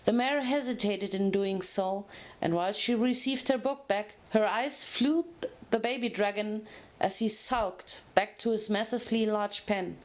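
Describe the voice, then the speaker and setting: A woman narrates a piece of fan fiction in a medium-pitched voice. She reads as a storyteller would, in a dramatic scripted style, with an occasional stumble over the words. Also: unhurried.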